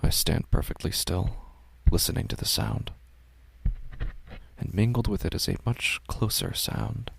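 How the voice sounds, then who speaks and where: A man's voice: fairly low pitch, a little slow, creaky, slightly rough, no echo. A man hosting and narrating a scripted horror story. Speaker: podcast host and narrator.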